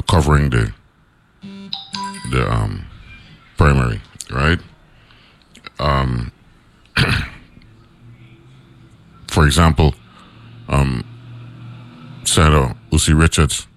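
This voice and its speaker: A man with a deep baritone voice hosting a morning radio talk show from a studio in the US Virgin Islands, chatty, with lots of fillers and "right?" tags. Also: vocal fry. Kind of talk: casual radio monologue.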